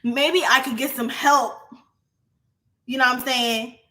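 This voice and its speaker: A young adult woman vlogging in a casual, profane monologue to her viewers, animated and often laughing. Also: sits high, a little slow.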